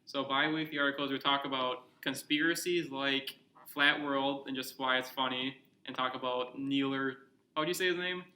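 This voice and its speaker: A man's voice: mid-range voice, steady tone, normal speed, sharp tone, roomy sound, slight background noise, low-quality recording. A young man co-hosting a casual podcast, rambling and joking, asking lots of questions. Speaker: podcast co-host. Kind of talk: casual chat.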